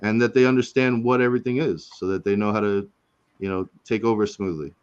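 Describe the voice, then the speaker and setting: A man's voice: fairly low pitch, fairly even intonation, a little fast, darkish tone, smooth, lo-fi sound. A man in his forties hosting a casual live chat, talking in a loose, rambling way and often tagging his sentences with "right?" and "man". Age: 40s. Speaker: host.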